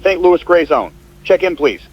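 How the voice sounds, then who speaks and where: A man's voice: a little high, expressive, normal speed, slight background noise. A man playing a dutiful emergency medical coordinator in an audio drama, speaking formally in clipped procedural phrases through a radio filter. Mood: businesslike. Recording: muffled, band-limited radio transmission.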